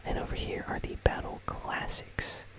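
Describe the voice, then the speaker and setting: Whispering ASMR gaming host. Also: moderate pace. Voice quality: breathy, unvoiced whisper. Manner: casual, conversational. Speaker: host of a gaming news channel. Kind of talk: whispered ASMR game commentary.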